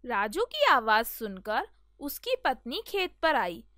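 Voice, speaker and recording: A woman's voice: on the high side, sing-song, slow, sharp tone, no echo, clean studio sound. A woman narrating a scripted children's moral story as a storyteller.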